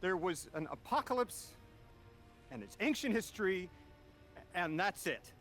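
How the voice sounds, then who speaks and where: An actor voicing a bewildered man displaced centuries from his own time, halting and searching for words, in a video game cutscene. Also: sits high, soft background music. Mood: disbelieving. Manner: anguished and halting when grieving, folksy and rambling when relaxed.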